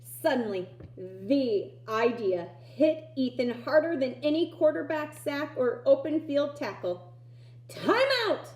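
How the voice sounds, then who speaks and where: Lady doing an expressive kids book read aloud, high voice, bit echoey room. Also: measured pace. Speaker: reader of a children's picture book.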